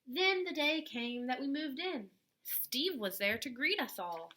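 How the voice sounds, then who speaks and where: A woman reading a picture book aloud to young children in an expressive, deliberate way, like a teacher at storytime. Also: high-pitched, slight background noise.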